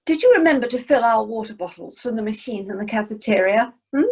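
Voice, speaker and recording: A woman's voice: fairly high pitch, lively intonation, fast, darkish tone, husky. A woman playing a snobbish, frugal English wife, genteel and disapproving, in an amateur radio comedy. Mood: genteel, fussy.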